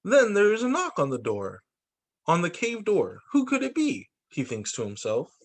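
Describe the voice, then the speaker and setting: A man who teaches young children, reading picture books aloud in an expressive, sing-song storytime delivery. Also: sits high, normal speed. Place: remote video call story session.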